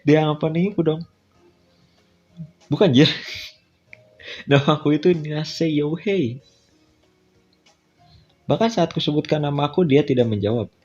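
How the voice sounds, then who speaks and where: Male Indonesian game streamer, informal narration and slangy reactions, slightly muffled recording with soft background music. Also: on the high side, unhurried, pauses often, husky. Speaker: visual novel let's-play streamer. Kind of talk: reading game dialogue aloud with casual side comments. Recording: dull lo-fi sound with music.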